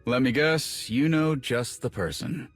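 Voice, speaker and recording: An actor playing a wry, casual comrade in a video game, brisk and informal. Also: male voice, medium pitch, soft background music, lightly acted.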